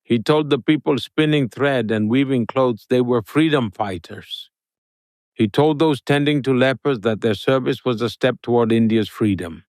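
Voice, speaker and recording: Brief: male guest voice, mid pitch, measured speech-like delivery, dry mic. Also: a little mellow, no echo. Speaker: interview guest.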